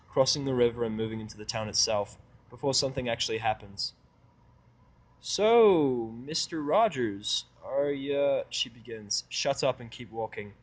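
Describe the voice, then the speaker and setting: A man reading fan fiction aloud as an expressive first-person narrator. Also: medium pitch, low-quality recording.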